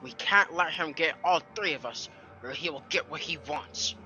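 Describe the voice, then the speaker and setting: A man's voice: a little high, moderate pace, pushed and bright, lo-fi sound. A man voicing an anxious, self-sacrificing young sidekick in an amateur comic dub. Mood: anxious, pleading. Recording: music behind the voice.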